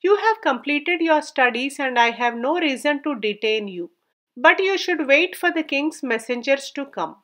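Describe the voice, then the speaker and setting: Woman reading as a high-voiced, wise male sage. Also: expressive, fairly bright tone. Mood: advising. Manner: measured, formal, advising.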